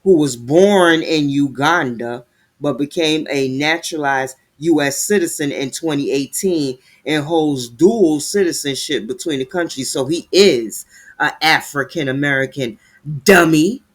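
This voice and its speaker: A man's voice: a little high, a little slow. A man giving heated, sarcastic commentary while reading news aloud, stumbling over words as he reads.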